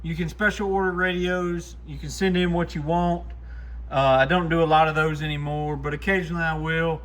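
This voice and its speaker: A man's voice: on the high side, fairly even intonation, fairly quick, fairly bright tone. A man giving an informal, plain-spoken, hands-on demo as the host of a repair shop channel. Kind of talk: casual hands-on demo narration. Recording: clearly noisy shop recording.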